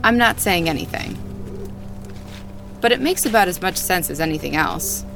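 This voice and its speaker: A woman's voice: lowish voice, expressive, normal speed, gravelly, over music. An actress playing a skeptical female companion in an audio drama, wry and questioning in natural dialogue.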